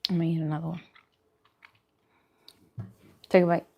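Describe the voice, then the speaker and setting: Low-pitched woman, casual mukbang chat. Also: level tone, normal speed, vocal fry, a little mellow, slight background noise. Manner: talking between bites, murmured reactions. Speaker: mukbang host. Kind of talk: casual eating chat to viewers.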